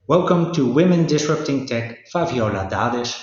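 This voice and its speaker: A man's voice: medium pitch, expressive, moderate pace, heavy reverb, muffled recording. A man with a Dutch accent, a native Dutch speaker, hosting and introducing an interview podcast. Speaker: podcast host.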